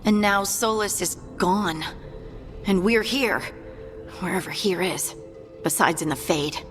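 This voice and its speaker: A woman's voice: medium pitch, measured pace, in a large hall, soft background music, natural voice. A woman playing a restless, driven, blunt fighter in a fantasy video game, speaking in clipped, insistent phrases. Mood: bitter. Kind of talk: video game cutscene dialogue.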